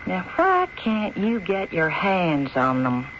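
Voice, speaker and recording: A woman's voice: fairly low pitch, wildly swooping pitch, slow pace, full character voice. An actress in a radio drama playing a domineering, impatient, greedy woman with pointed, insistent questioning. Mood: impatient, goading. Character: domineering, impatient, greedy frontier woman who goads and needles. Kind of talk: old-time radio drama acting. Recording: muffled, noisy old radio recording.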